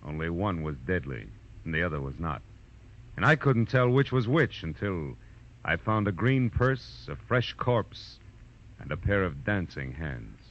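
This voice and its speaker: Wry hard-boiled American private eye, low voice, swooping intonation, world-weary wisecracks, 1940s radio drama, dull old recording. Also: male voice, sing-song, a little slow, background noise, full character voice. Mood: dramatic, ominous. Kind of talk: 1940s radio detective drama acting and narration. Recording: dull vintage radio recording, faint music.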